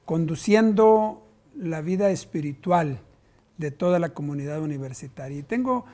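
A man's voice: fairly high pitch, expressive, moderate pace, dark tone. A middle-aged man hosting a TV studio interview, asking questions with frequent stammered restarts. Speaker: host, university rector. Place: TV studio set.

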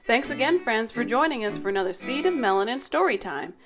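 A woman hosting story time, reading a rhyming children's picture book aloud in a dramatic, deliberate way. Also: on the high side, expressive, music in the background. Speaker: story time host and narrator.

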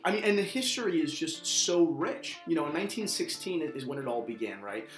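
A man's voice: a little high, animated, fairly quick, soft background music. A man in his twenties hosting a video, chatting casually as an enthusiast in a rambling way with frequent filler phrases. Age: 20s. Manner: rambling, peppered with "you know". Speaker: watch channel host. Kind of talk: casual enthusiast chat to camera. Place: small indoor office, seated at a desk. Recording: echoey small room.

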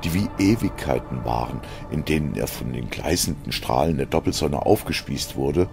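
A man's voice: very deep voice, lively intonation, moderate pace, vocal fry. A man reading scripted science fiction as a narrator, in a dramatic style. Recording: music behind the narration. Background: music.

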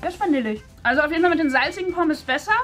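A woman's voice: sits high, racing, fairly bright tone, soft background music. A young woman hosting a casual food-testing vlog, chatting first in a car and then in a kitchen. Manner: chatty, leads the tests. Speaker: vlog host.

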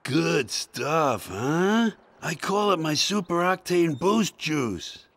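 A man voicing a mellow, spaced-out hippie van in a cartoon game, with a laid-back drawl. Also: fairly high pitch, sing-song, takes it slowly, exaggerated voice. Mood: easygoing. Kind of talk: animated game voice acting.

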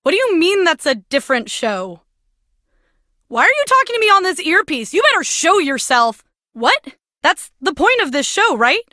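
A woman's voice: very high-pitched, very animated, normal speed, bright, forceful tone, dry recording, clean studio sound, exaggerated voice. A woman playing a brash, vain, combative young singer competing in a video game's reality show. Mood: boastful, combative. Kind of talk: scripted video game voice acting.

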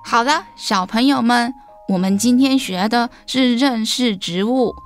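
A woman's voice: fairly high pitch, unhurried, soft background music, lightly acted. A woman voicing a kind, instructive teacher of little animals in a children's story. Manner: encouraging, clear instruction to small children.

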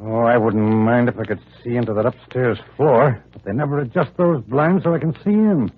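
An actor playing a seasoned, bossy federal agent in an old radio crime drama. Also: male voice, mid-range voice, normal speed, breathy edge, close to an everyday voice. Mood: brisk, watchful. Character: seasoned federal agent bossing his rookie partner. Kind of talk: 1940s radio acting. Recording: thin, narrow-band vintage radio recording.

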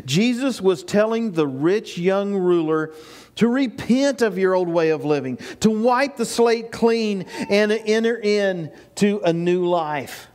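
High-voiced preaching older man. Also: expressive, slow pace, a little mellow. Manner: preaching with rising emphatic bursts and repeated phrases. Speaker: pastor. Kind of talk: sermon. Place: small church sanctuary with projection screen. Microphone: far camera, no mic seen.

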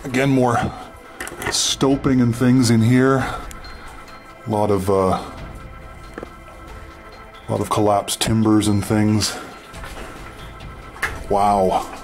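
A man from Canada giving casual, halting running commentary as he explores underground and describes what he sees. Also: on the low side, level tone, soft background music. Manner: halting, unscripted descriptions of surroundings. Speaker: mine explorer and video host. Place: underground in an abandoned mine tunnel.

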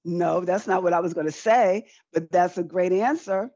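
A woman's voice: lowish voice, normal speed, warm tone, no room sound. Middle-aged woman demonstrating, dull call audio. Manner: chatty, repeats phrases for emphasis. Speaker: cooking demonstrator. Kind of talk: live online cooking demonstration, conversational teaching. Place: indoor room with folding screen, demo table.

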